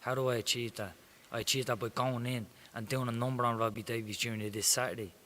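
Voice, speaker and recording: A man's voice: medium pitch, fairly even intonation, fast talker, sharp tone. A young Irish man, a professional boxer, talking confidently and plainly in an interview. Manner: confident, swaggering, plain-spoken. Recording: faint hiss.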